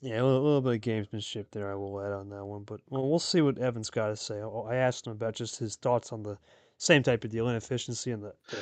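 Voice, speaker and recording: A man's voice: fairly low pitch, steady tone, fast, a little mellow, dry recording. A man hosting and calling a card game match in a casual, chatty play-by-play style, repeating phrases for emphasis. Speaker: host and play-by-play commentator.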